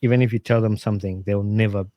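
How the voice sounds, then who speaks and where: Earnest man, lowish voice. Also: fast talker, dry recording, dull audio. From Uganda. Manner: earnest and animated, with frequent fillers and restarts. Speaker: podcast guest. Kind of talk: casual interview talk, giving advice.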